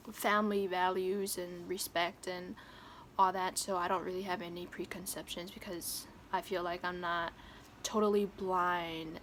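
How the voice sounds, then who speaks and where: A woman's voice: on the low side, slight background noise. A young woman who grew up in American Samoa, a student answering questions casually and hesitantly, with many ums. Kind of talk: casual video assignment answers. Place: home in San Francisco.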